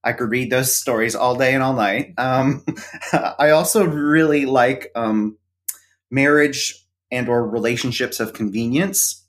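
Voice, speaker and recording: A middle-aged man of medium pitch, chatting as a guest on a podcast. He talks in a loose, hesitant way, pausing often on ums and uhs as he gathers his thoughts. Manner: hesitant, many fillers. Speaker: podcast co-host, interview guest. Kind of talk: casual conversation.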